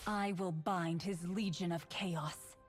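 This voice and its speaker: A righteous female warrior of light from a video game. Her voice sits on the low side and she speaks slowly, with solemn command, as if every line were a verdict. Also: steady tone, soft background music. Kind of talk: scripted video game voice lines.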